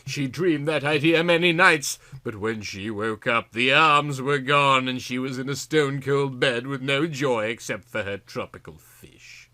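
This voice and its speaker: A man's voice: mid-range voice, unhurried, hardly any pauses, bright, forceful tone. A man reading a story aloud in a theatrical, exaggerated, melodramatic comic style. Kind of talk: melodramatic comic audiobook narration.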